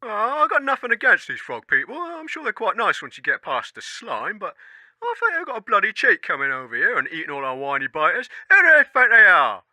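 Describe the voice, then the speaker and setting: A man acts out an indignant townsman in a comedy radio sketch. He grumbles and swears his way through a rant, the voice high and swinging widely in pitch. The delivery is sharp and affronted. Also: huge pitch swings, brisk, dry recording, full character voice. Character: indignant local townsman griping about newcomers. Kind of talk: comic vox pop in radio sketch.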